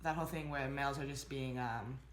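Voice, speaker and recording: A woman's voice: rumbling low, steady tone, a little fast, a little hiss or hum. A young woman from Boston, a film student, chatting casually in an interview and saying "like" often. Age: young adult. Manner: chatty, leans on "like" a lot. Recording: roomy echo.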